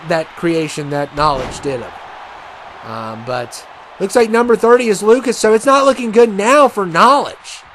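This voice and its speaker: A man casually commentating a wrestling video game match, rambling and chatty with many fillers, and he says he has a loud voice. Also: high-pitched, slight background noise. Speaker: gaming commentator.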